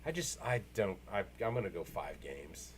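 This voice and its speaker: A man hosting a casual, unscripted sports talk show, rambling and halting as he repeats himself. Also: low-pitched, very animated, slight background noise.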